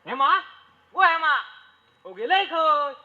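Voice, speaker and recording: A man's voice: extremely high pitch, expressive, slow, sharp tone, exaggerated voice. A man playing a playful, grumbling old father-in-law in a comic stage play, declaiming with a Malvani accent. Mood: playful, calling. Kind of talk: broad comic stage acting in a dialect play. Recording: dull, lo-fi stage recording.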